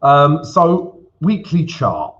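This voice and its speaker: A man hosting a live trading show, talking casually and often turning to his audience. His pitch swings widely. The audio is a little muffled, like a typical livestream. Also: wildly swooping pitch, drawn-out words, fairly bright tone. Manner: chatty, peppers lines with "yeah?" and "guys". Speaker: forex livestream host. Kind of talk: live trading chart walkthrough. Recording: slightly dull livestream audio.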